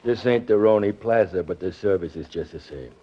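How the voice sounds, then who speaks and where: Low-voiced, smugly mocking shady hotel clerk. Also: male voice, a little fast, slightly rough. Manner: smug, mock-courteous, insinuating. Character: shady, mocking male flophouse desk clerk. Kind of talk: 1940s radio crime drama acting. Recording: muffled vintage 1940s radio recording.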